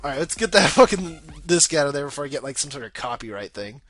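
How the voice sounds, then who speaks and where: A man's voice: fairly high pitch, very animated, quick pace, fairly bright tone, faint music behind. A young man giving casual, rambling gameplay commentary in an informal way, swearing freely. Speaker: video game let's play host.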